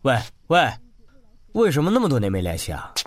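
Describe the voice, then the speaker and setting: A man's voice: medium pitch, huge pitch swings, a little fast, slight background noise. An actor playing a reflective, tender man looking back on his life in a Mandarin radio drama. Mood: excited, bantering. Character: man wistfully narrating a lost past, warm husband and father. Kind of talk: Mandarin radio drama acting with inner monologue. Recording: music under the voice.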